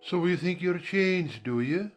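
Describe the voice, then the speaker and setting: Slow, haughty storybook caterpillar played by an actor. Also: mid-range voice, lively intonation, faint music behind, lightly acted. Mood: curt, haughty. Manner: slow, drawn-out, terse questioning and contradicting. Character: curt, contrary, haughty caterpillar smoking a hookah. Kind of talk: dramatized audiobook character acting.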